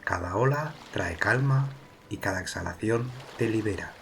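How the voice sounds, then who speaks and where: A man with a fairly low voice leads a guided meditation. He speaks in a calm, soothing manner, leaving pauses between slow phrases. Soft sea waves wash faintly behind his voice. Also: creaky, slight background noise. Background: sea waves, water ambience.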